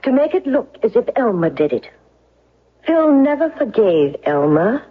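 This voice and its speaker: A woman's voice: fairly high pitch, sing-song, measured pace, breathy edge, exaggerated voice. A woman playing a commanding, relentless defense lawyer in a 1970s radio courtroom drama, speaking in an emphatic, accusatory way. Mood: accusing. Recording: dull, lo-fi old radio recording.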